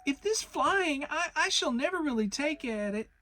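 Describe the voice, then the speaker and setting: Someone putting on a squeaky, stammering timid piglet. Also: animated, normal speed, pushed and bright, no echo. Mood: frightened. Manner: stammering, jittery. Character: small timid anxious piglet, squeaky and easily flustered. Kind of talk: dramatic children's storybook reading.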